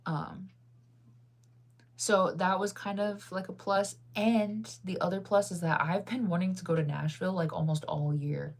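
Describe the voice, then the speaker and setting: A woman's voice: on the low side, steady tone, measured pace. A woman chatting casually with her viewers, rambling through stories with lots of fillers and frequent laughter. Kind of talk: casual chat.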